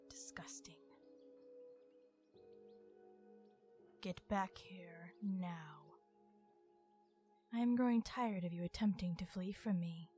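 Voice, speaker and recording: An ancient female vampire, acted out by a woman for an ASMR roleplay, speaks in a soft, mellow tone over faint music. She is haughty, possessive and coolly refined, choosing formal words and often dropping to a hush. Her delivery is slow and stern, with irritation held under control. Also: medium pitch, lightly acted. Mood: irritated, menacing. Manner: haughty, measured, formal phrasing, often hushed.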